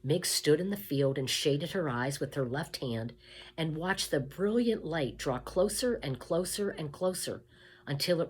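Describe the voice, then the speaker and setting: A woman reading a children's story aloud expressively, with deliberate emphasis, addressing the listener directly. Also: low voice, darkish tone.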